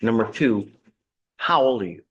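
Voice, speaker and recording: A man's voice: mid-range voice, animated, moderate pace, lo-fi sound, a little hiss or hum. A man serving as judge in a courtroom, lecturing a defendant from the bench with blunt sarcasm and folksy sayings.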